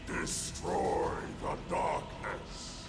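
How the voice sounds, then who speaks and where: An actor playing a vengeful, gloating dark demon lord in a video game, making grandiose, menacing proclamations. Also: male voice, drawn-out words. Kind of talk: video game villain acting. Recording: echoing voice under loud music.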